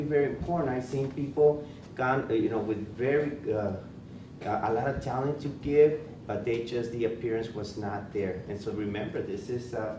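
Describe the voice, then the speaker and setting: A man with a Spanish accent, a school principal giving interview advice in an informal workshop, repeating words and restarting often. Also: mid-range voice. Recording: echoey room, far from mic, noisy.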